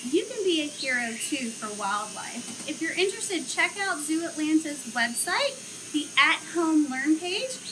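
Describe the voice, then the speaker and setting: A young woman who works at a zoo reads a children's picture book aloud outdoors, in an expressive storytelling manner. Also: sits high, background noise. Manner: slow, expressive storytelling, livelier chat afterward. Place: outdoor zoo enclosure with trees.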